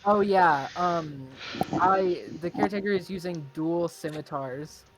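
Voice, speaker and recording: A man's voice: high-pitched, unhurried, background noise. Male player describing moves in a tabletop game. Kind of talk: casual description of character's actions.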